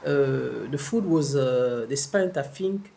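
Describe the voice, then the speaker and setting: Older man, gravelly. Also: mid-range voice, expressive, takes it slowly, mellow, slight background noise. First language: French. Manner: rambling, hesitant, many fillers and restarts. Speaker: vlogger. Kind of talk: casual vlog talk to camera.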